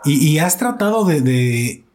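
A man's voice: a little high, big pitch swings, a little slow, darkish tone. A young man from Mexico hosting a casual podcast chat, talkative and fond of trying out accents. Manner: chatty, playfully imitates accents. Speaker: podcast host.

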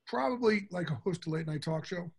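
A man's voice: high voice, lively intonation, fairly quick, creaky, husky. A middle-aged man with a big voice, talking from the heart as an interview guest. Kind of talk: casual interview talk.

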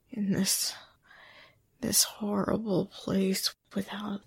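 An actress playing a jittery, irritable woman in a 1940s-style radio drama, theatrical and halting, with a breathy voice. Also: mid-range voice, full character voice.

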